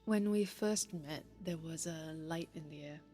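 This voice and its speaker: A heartbroken lover in an audio drama, really slow and reflective, music underneath. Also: female voice, low voice, mellow. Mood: tender, wistful. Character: grieving heartbroken lover, pensive and lyrical. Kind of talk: poetic audio-drama monologue.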